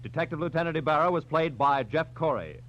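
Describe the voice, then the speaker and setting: Male American announcer, 1940s radio style, higher-pitched and formal, dull recording with faint noise and hum. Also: animated, quick pace. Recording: muffled noisy vintage radio recording with hum.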